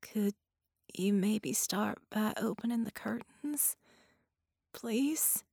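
A woman's voice: mid-range voice, takes it slowly, vocal fry, a little mellow, slightly rough, dry recording, studio quality, voice pushed up, heavily acted. Woman playing a fragile, wavering wounded female veteran. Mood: pleading. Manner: folksy, plainspoken. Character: frail, plainspoken wounded female veteran, pleading and stubborn. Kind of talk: audiobook character dialogue.

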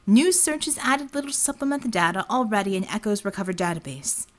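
Woman narrating fiction with lively intonation. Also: mid-range voice, fairly quick. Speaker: audiobook narrator.

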